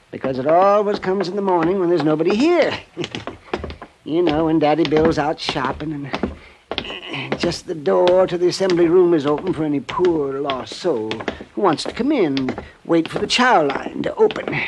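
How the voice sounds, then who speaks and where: An actor playing a genial, naive, tipsy old Bowery drifter in an old-time radio drama, rambling and stammering with a childlike chuckle. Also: male voice, fairly high pitch, normal speed, background noise, natural voice. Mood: chuckling, eager.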